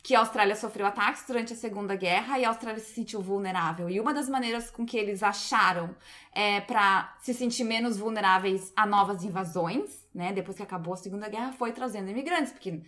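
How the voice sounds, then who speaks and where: Bright-voiced Gaúcha woman giving opinions. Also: medium pitch, a little fast. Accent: Gaúcho Brazilian Portuguese. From Pelotas, southern Brazil. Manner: opinionated, explains at length. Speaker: podcast guest. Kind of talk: casual discussion.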